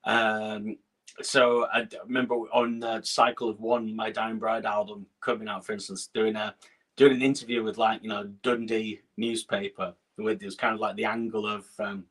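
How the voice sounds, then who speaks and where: A man's voice: fairly low pitch, flat delivery, normal speed, breathy edge, rough recording. A middle-aged man with a northern English accent, a guest chatting casually on a video call, hesitant with many fillers and restarts. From Scotland. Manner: hesitant, lots of fillers and restarts, soft laughs. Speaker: guest musician. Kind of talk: casual interview chat.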